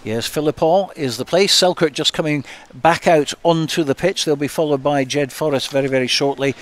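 A man's voice: fairly high pitch, normal speed, faint music behind. A man presenting a live rugby broadcast on the radio, handing over to commentators.

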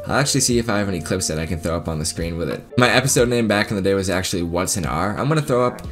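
A man's voice: deep voice, lively intonation, racing, soft background music. A man in his twenties with a Boston accent from the Massachusetts area, chatting casually as he tells a story over his gameplay footage. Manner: casual, chatty storyteller talking straight to viewers. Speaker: gaming video creator. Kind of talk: sit-down gameplay commentary with story time.

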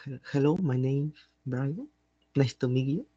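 A young man answering in very slow, halting beginner English as a student. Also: medium pitch, mellow, no echo. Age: young adult. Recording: dry, muffled video call audio.

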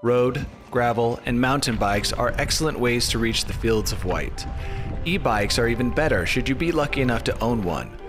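The man you would hear narrating a spoof instructional film about the outdoors, deadpan and mock-formal, with music playing clearly underneath. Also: medium pitch, moderate pace. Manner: deadpan, tongue-in-cheek formal delivery. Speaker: narrator of a skiing explainer video. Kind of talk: scripted narration, mock-documentary. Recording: music bed under voice-over.

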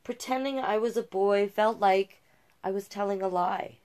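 A woman's voice: mid-range voice, moderate pace, a little mellow, smooth. A middle-aged woman hosting storytime, reading a picture book aloud expressively and adding savouring asides of her own. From Canada. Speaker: drag king storytime host. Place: small cluttered indoor room.

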